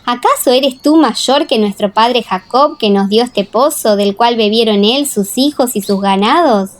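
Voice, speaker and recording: A woman playing a forthright, questioning villager at a well in a dramatized scripture audiobook, with expressive delivery. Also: a little high, a little slow, natural voice. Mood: skeptical.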